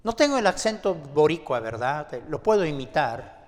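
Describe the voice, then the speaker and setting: A man preaching a sermon to his congregation, deliberate and asking them rhetorical questions. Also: fairly high pitch, very animated. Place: church sanctuary. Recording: long church-hall reverb.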